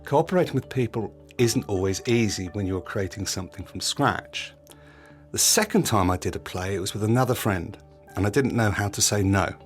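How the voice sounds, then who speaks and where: A man's voice: lowish voice, lively intonation, normal speed, faint music behind. A man hosting a podcast, delivering a scripted, confiding monologue in a reflective, plain-spoken way. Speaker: podcast host.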